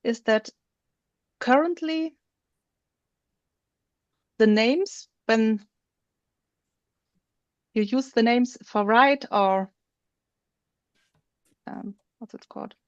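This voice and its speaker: A woman presenting on a video call, slowly walking through a software feature while she shows her screen. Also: a little high, dry recording, highs cut off. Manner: slow, explanatory, thinks aloud while showing her screen. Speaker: webinar presenter.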